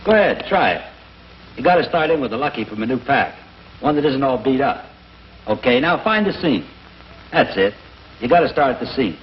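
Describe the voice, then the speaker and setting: A man's voice: medium pitch, sing-song, a little fast, long pauses, close to an everyday voice. A man playing an easygoing, encouraging American fellow in a 1950s commercial skit, coaxing casually step by step. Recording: dull 1950s broadcast audio.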